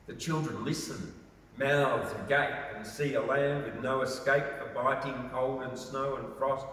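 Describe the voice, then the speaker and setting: A man reads verse aloud in an expressive, theatrical storytelling style. His pace is slow and unhurried. The recording is heavily reverberant, with the voice sitting well back from the microphone. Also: medium pitch. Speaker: poetry reader. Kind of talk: dramatic poetry recitation. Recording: very echoey, reverberant, distant from mic.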